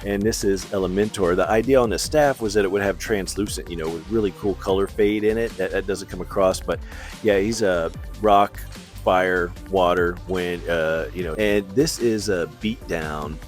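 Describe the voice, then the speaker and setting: A middle-aged man giving a casual, rambling commentary on artwork in an informal, halting, self-deprecating way. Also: sits low. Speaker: artist showing his portfolio. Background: background music.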